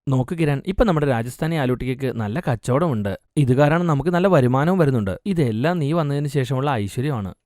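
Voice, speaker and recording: A man's voice: mid-range voice, lively intonation, fairly quick, a little mellow, no room sound, clean studio sound, natural voice. A man playing a kind young street food seller in a cartoon moral story, speaking in an earnest, reassuring way. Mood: earnest, tender.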